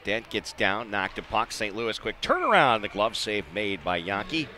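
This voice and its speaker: A man calling live ice hockey play-by-play in clipped phrases, excitable when the action heats up. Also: lowish voice, normal speed. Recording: live arena broadcast with background noise.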